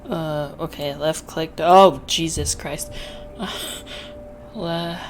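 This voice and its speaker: A woman's voice: deep voice, drawn-out words, slight background noise. A young woman with a slight Filipino accent chatting casually and self-deprecatingly while playing a game. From Philippines. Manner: rambling, self-deprecating, stumbling over words. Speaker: gaming YouTuber. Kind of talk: casual let's-play commentary.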